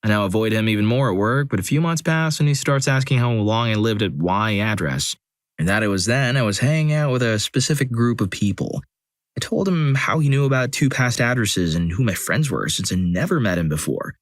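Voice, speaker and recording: Low-voiced man reading stories dryly. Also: fairly quick, dry recording. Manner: steady reading with dry, wry asides. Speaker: narrator. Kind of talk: scripted story narration.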